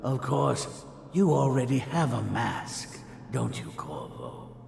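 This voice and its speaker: An actor playing a proud, pompous older scientist held captive in a video game, speaking in a formal, theatrical way. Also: male voice, medium pitch, big pitch swings, takes it slowly, gravelly, darkish tone, heavily acted. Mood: sly. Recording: echoey room.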